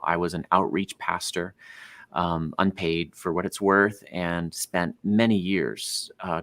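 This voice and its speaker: Deep-voiced man explaining eagerly. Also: no echo. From United States. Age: 40s. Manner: animated, explanatory, frequent fillers. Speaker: guest author. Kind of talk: conversational podcast interview.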